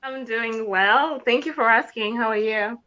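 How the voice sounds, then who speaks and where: A woman's voice: fairly high pitch, unhurried, bright, forceful tone, slight background noise. A woman from the Congo, a pageant contestant, speaking earnestly and passionately about her goals. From Democratic Republic of the Congo. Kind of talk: interview answers over video call. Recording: dull lo-fi call audio.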